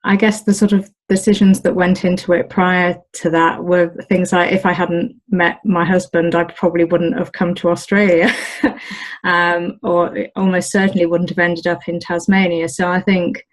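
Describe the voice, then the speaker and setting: Hesitant British woman, low flat voice. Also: moderate pace. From United Kingdom. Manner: hesitant, many ums and restarts. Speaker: olive grove owner, guest. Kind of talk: casual interview.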